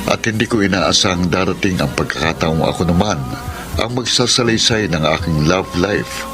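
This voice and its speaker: A man's voice: deep voice, moderate pace, music in the background, natural voice. An actor playing a weary, exasperated young Filipino man fed up with his jealous girlfriend in a radio drama. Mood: weary, confessional. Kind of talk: Filipino radio drama acting and letter narration.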